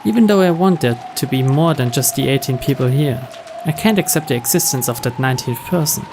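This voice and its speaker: A man's voice: medium pitch, a little fast. A man reading a game's text aloud as dramatic scripted narration. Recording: steady rain noise behind. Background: rain.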